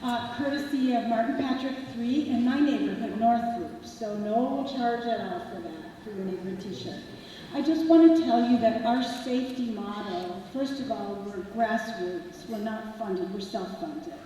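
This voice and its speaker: A woman with a soft voice speaking up at a community meeting, announcing a neighborhood safety walking group. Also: on the high side, measured pace, slight background noise. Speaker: neighborhood safety walk organizer. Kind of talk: public remarks at a community meeting. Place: large meeting hall. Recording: echoey hall, far from the mic.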